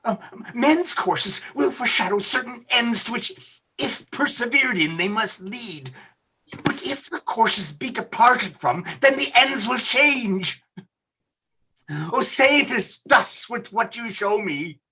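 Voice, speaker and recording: Man acting a bright, husky, pleading old miser. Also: extremely high pitch, expressive, full character voice, low-quality recording. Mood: anxious pleading. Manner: dramatic, halting, imploring. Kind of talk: theatrical radio play acting.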